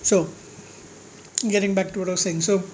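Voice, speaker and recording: A man with a slightly high-pitched voice, ranting casually to his vlog audience in a rambling, blunt way and swearing at critics. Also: little pitch movement, normal speed, darkish tone, a little hiss or hum, rough recording. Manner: rambling, with restarts and asides. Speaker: food vlogger. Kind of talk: casual rant to camera while eating.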